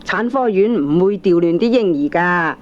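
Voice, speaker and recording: A woman's voice: on the low side, lively intonation, normal speed, darkish tone, natural voice. A woman playing an assured younger sister in a Hong Kong Cantonese comic radio drama, matter-of-fact and insistent. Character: assured younger sister vouching as family witness. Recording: dull 1980s audio cassette recording.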